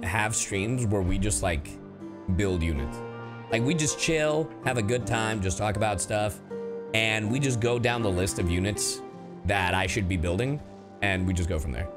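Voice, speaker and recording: A man livestreaming a video game, chatting casually in slang and often repeating his words. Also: fairly low pitch. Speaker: game streamer. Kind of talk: casual livestream chat while playing a game. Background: game music.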